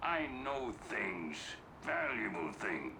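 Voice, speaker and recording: Cornered, bargaining man, animated pitch. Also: slow, gravelly, heavy background noise, full character voice. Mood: calculating. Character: cornered man bargaining for his own skin. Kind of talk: dramatic video game cutscene acting.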